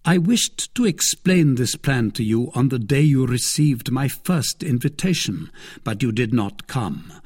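A man voicing a shrewd, commanding cardinal in a novel, formal and measured, persuasive with a condescending edge. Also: medium pitch, expressive, darkish tone, breathy edge, voice pushed up. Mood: grand, courteous. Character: grand, shrewd cardinal, commanding male statesman, persuasive and disdainful.

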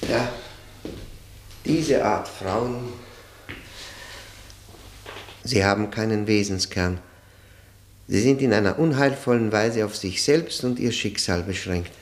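An actor as a low-voiced tormented man confessing. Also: a little hiss or hum, close to an everyday voice. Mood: bitter, brooding. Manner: brooding, rhetorical monologue with halting repetitions. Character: tormented man confessing his ruined marriage, bitter and impassioned. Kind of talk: 1960 German radio drama acting.

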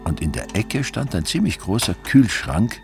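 Deep voiced radio drama narrator, deadpan wry storyteller, clear music behind. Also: male voice, normal speed. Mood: matter-of-fact. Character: dry, wry male storyteller of a crime tale.

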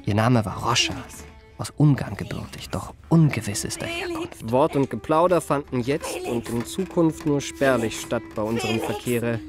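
A man acts out a young gentleman swindler telling his own story in a literary radio drama. His medium-pitched voice delivers ornate, formal phrases with a mannered, self-satisfied polish. Music plays clearly behind him. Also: close to an everyday voice. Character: elegant young male confidence trickster recounting his life. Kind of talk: literary first-person radio play narration.

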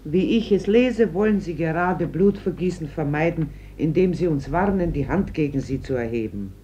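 A woman's voice: deep voice, expressive, moderate pace, mellow, slight background noise. An actress playing a stern, anxious mother in a German radio play, speaking firmly and in an admonishing way. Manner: admonishing, firm.

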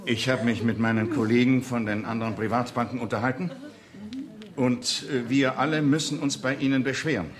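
An actor playing a stiff, pompous gentleman banker in a radio play, formal in his wording and easily flustered. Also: mid-range voice, steady tone, brisk, heavy background noise, lightly acted. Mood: stiffly polite. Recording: 1979 radio play, loud crowd behind.

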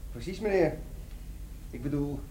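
An actor playing a deferential company subordinate in a comic radio play. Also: male voice, fairly high pitch, expressive, measured pace, mellow. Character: deferential male company subordinate, loyal and blunt. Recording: distant, echoey, faintly noisy radio recording.

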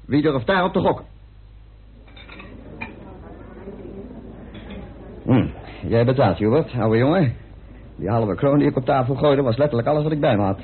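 A thin, band-limited vintage radio recording features a male actor as an easily indignant parliamentarian. He is a chummy sidekick who questions and exclaims, his mid-low voice rising and falling in animated swings. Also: fast talker, a little hiss or hum, natural voice. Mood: jovial. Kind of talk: Dutch radio play acting. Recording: narrow, phone-like old radio recording.

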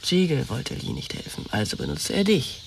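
A woman's voice: rumbling low, wildly swooping pitch, moderate pace, gravelly, recorded from a distance, background noise, full character voice. An actress in a German radio drama playing a wounded young woman, pleading and confessing with insistent emotion. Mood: bitter, confessing.

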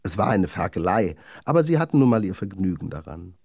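A man tells a children's fairy tale as its narrator. His voice is mellow with a gravelly creak, and his melody is animated. The recording is close and dry. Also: low voice, brisk, no room sound. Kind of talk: scripted storytelling for children.

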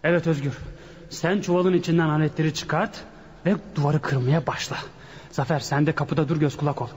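An actor playing a bossy, impatient leader of a burglary gang in a radio drama, commanding and dismissive. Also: male voice, a little high, steady tone, fairly quick, a little mellow, slightly rough. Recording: radio play with faint background noise.

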